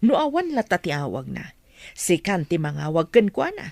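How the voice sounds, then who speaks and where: Naranggas nga ina, nabiag ti tonona. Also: female voice, fairly low pitch, huge pitch swings, moderate pace, gravelly, darkish tone, dry recording, clean studio sound, exaggerated voice. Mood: blunt. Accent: Filipino, Ilocano. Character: scornful mother of a grown daughter, blunt and scheming. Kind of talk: radio drama acting.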